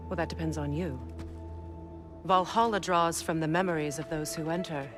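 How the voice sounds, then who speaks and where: Actress as sardonic game goddess: lowish pitch, proud and commanding, dry, pointed delivery. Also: female voice, normal speed, lightly acted. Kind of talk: video game cutscene acting. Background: game music.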